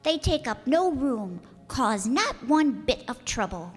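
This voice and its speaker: A woman plays a small, innocent prince in a radio drama, earnest and wistful. The voice is high and very expressive in its rise and fall, with hall reverb around it. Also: slow pace, breathy edge, heavily acted. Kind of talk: theatrical radio play acting. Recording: echoey hall with music bed.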